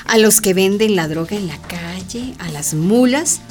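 An actress playing an earnest, didactic town mayor with a Latin American accent and emphatic key words in a radio drama. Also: female voice, medium pitch, lively intonation, measured pace, faint music behind, close to an everyday voice. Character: earnest, worldly-wise female town mayor, explaining patiently.

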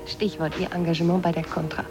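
An actress in a radio drama playing a cool, persistent interviewer with a soft husky voice and terse manner. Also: female voice, on the low side, little pitch movement, quick pace, music in the background, close to an everyday voice. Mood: probing. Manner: terse, probing. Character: probing, persistent female media interviewer, cool and pragmatic. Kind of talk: 1980s German radio drama acting.

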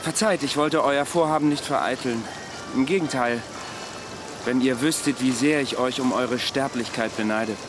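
An actor playing a world-weary immortal former soldier in a radio drama, speaking with dry courtesy and archaic wording. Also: male voice, medium pitch, normal speed, lightly acted. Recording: music and watery ambience behind voice.

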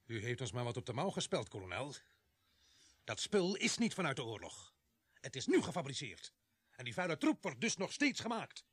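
Gruff, husky police sergeant. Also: male voice, mid-range voice, huge pitch swings, moderate pace, fairly bright tone, heavily acted. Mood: suspicious, indignant. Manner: blunt, grumbling, swearing. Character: gruff male police sergeant, suspicious and blunt. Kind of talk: Dutch radio drama acting.

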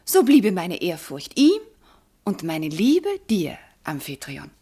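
An actress playing a devoted, troubled wife in a classical verse radio drama, hesitant and fervent, with a breathy delivery. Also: female voice, fairly low pitch, sing-song, slow, dark tone, heavily acted. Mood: anxious, reverent. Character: devoted, troubled young wife torn between god and husband.

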